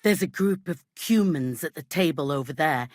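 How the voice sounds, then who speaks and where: An actress playing a brusque, gossipy, world-weary medieval village innkeeper in a video game, talking in blunt, earthy, scolding phrases. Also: female voice, low voice, lively intonation, moderate pace, darkish tone, no room sound, studio quality. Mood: uneasy, asking favour. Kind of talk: video game character dialogue.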